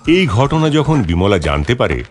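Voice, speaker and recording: A man's voice: mid-range voice, big pitch swings, moderate pace. A man narrating a scripted moral story for children. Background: background music.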